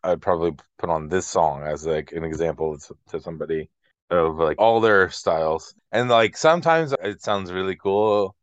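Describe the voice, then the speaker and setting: A man's voice: low voice, moderate pace, no room sound, highs cut off. A man co-hosting a casual music chat, speaking in a reflective, hesitant way peppered with filler words. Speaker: co-host of a music discussion show.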